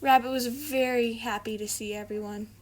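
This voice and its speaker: A woman's voice: a little high, fairly even intonation, moderate pace. A woman hosting a read-aloud, narrating a children's picture book. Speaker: storybook reader, video host.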